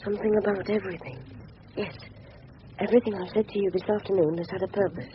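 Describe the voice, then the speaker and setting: An actor playing an earnest, anxious English schoolboy in a radio drama, polite but prickly. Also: male voice, high voice, fairly even intonation, fast talker, slight background noise, lightly acted. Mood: musing. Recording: muffled 1970s radio play, busy background sounds.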